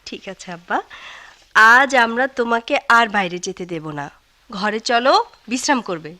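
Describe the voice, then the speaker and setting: An actress playing a caring, anxious young daughter in a radio drama, speaking in a pleading, solicitous way. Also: female voice, a little high, animated, moderate pace, sharp tone. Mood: concerned coaxing.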